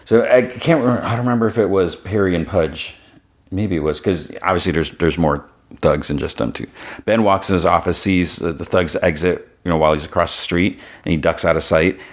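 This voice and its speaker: A man's voice: deep voice, fairly quick. A man hosting a podcast, retelling a TV episode scene by scene in a loose, casual way and quoting the characters as he goes. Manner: retells scenes, briefly voicing characters in quotes; loose, with restarts and "he's like" quotes. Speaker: podcast host.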